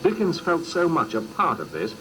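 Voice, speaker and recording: Lively male documentary narrator on noisy tape. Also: a little high, normal speed. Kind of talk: scripted narration. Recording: noisy old cassette recording.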